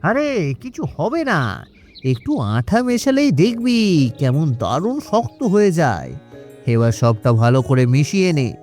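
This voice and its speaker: A man's voice: a little high, very animated, slow pace, a little mellow, soft background music. A man voicing a sly, boastful swindling salesman in a children's cartoon, brash and wheedling. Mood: bossy. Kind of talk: cartoon story voice acting.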